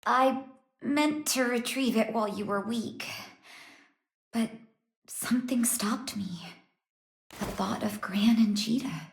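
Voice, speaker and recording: A woman's voice: fairly high pitch, takes it slowly, vocal fry, long pauses. An actress voicing a young-looking priestess of a dragon god, a long-lost doting mother, in an English game dub. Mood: sorrowful. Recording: echoing voice under prominent music. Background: guitar and instrumental music.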